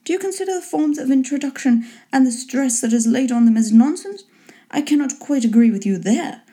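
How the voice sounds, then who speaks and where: A woman voicing a dry, teasing father in a storybook reading, in a measured, mock-formal manner. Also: high-pitched, animated, dark tone. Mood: wry teasing. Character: dry, teasing, unruffled father of grown daughters.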